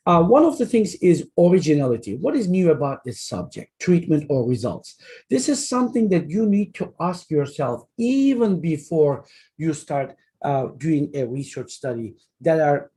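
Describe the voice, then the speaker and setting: Mellow-voiced man with a Turkish accent. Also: on the high side. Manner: earnest, halting explaining with many fillers and restarts. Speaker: journal editor and academic speaker. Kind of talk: online webinar lecture. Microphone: computer mic on a video call.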